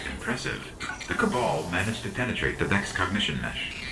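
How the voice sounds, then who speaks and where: A man voicing a small, clever, wry robot guide in scripted video game dialogue, speaking conversationally with loud music behind him. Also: low-pitched, big pitch swings, normal speed, heavy background noise. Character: small floating robot companion, male, clever, wry, guiding.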